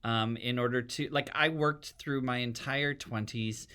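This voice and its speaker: Male, middle-aged, very bright and tense tone, medium pitch, casual interview guest. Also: fairly even intonation, a little slow, clear, resonant tone. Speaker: guest, theatre artist. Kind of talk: casual podcast chat.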